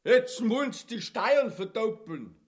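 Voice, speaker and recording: Eager gossiping commoner, lively melody, high voice, comedy drama. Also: male voice, takes it slowly, heavily acted. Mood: eager, gloomy. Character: eager common townsman bringing gloomy news from afar. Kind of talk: grotesque theatrical comedy acting.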